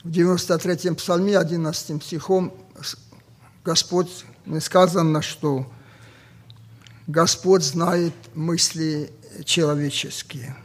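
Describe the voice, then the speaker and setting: A man from Ossetia with an Ossetian accent, a native Ossetian speaker preaching a sermon slowly and deliberately, pausing often with fillers. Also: fairly high pitch, fairly even intonation. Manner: slow and deliberate with many pauses and fillers.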